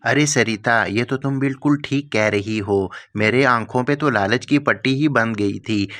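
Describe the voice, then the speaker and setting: A man's voice: medium pitch, fairly bright tone, natural voice. A man voicing an earnest, grateful poor farmer in a cartoon story.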